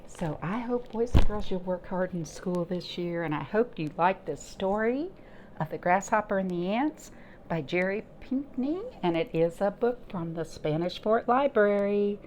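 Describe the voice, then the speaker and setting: A woman reading and chatting to young children as a storytime host, in an expressive sing-song way with lots of simple questions. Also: mid-range voice, unhurried. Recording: noticeable background noise.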